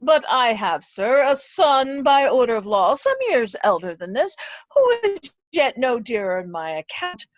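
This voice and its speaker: Woman acting genial bawdy earl, high, muffled recording. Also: dry recording. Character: genial, bawdy older earl boasting of sons. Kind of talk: Shakespearean reader's theatre.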